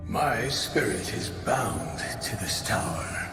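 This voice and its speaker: A man playing a solemn, commanding ghostly old wizard mentor in a video game cinematic, speaking in a portentous, deliberate way. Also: lowish voice, expressive. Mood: calm, knowing. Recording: loud music under the voice.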